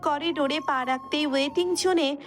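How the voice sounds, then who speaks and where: A woman's voice: sits high, normal speed, soft background music. A woman narrator reading a romance story as dramatic scripted narration.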